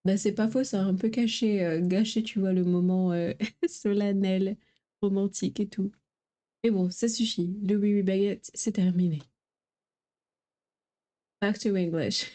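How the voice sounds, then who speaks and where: A woman's voice: mid-range voice, steady tone, moderate pace, pauses often, mellow. A woman streamer giving casual, playful, informal live commentary on a game.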